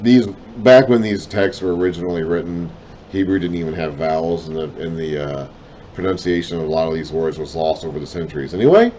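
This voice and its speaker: A man reading and explaining a Bible lesson in a deliberate way, his voice trailing off at the ends of phrases, with self-conscious apologetic asides. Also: deep voice, a little mellow, dull audio, slight background noise. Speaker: Bible teacher.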